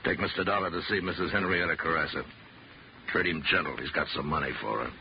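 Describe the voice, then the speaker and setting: Deep-voiced, gruff gangster boss. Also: male voice, fast talker. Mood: sardonic. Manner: gruff, terse, mock-polite tough talk. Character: scar-faced menacing gangster boss, curt and sardonic. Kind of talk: 1950s radio detective drama acting. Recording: muffled, noisy vintage radio recording.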